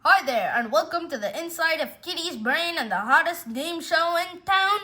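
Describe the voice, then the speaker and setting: A woman's voice: very high voice, lively intonation, normal speed, sharp tone, pitched above the performer's own voice, full character voice. A woman voicing a slick, peppy game show host with showy announcer patter, in a storybook reading. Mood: showy, upbeat.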